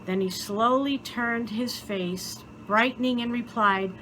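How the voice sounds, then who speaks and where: A woman reading a children's story aloud in an expressive, theatrical way, with deliberate dramatic pauses. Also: fairly high pitch, a little hiss or hum, poor audio. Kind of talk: expressive scripted story narration.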